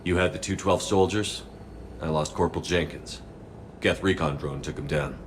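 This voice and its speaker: A man's voice: deep voice, normal speed, slight background noise, natural voice. A man acting a steadfast military commander in a video game, firm and restrained. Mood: resolute.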